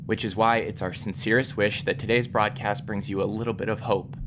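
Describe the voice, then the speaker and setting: A man's voice: fairly low pitch, fairly even intonation, a little fast, noisy background, natural voice. An actor playing an earnest, slightly flustered male scientist fronting a broadcast in an audio drama. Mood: earnest, nervous. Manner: earnest, presentational.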